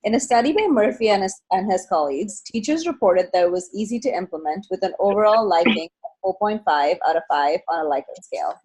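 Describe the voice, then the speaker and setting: Husky-voiced woman therapist. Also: medium pitch, animated, fast, darkish tone, rough recording. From Toronto, Canada. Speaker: senior therapist. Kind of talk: reading a prepared class presentation.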